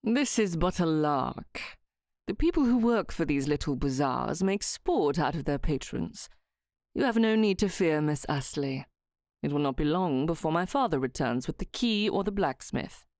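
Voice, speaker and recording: A woman voicing a cheerful, self-assured young viscount with a lower, slower, confident drawl. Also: lively intonation, a little mellow, no echo, clean studio sound.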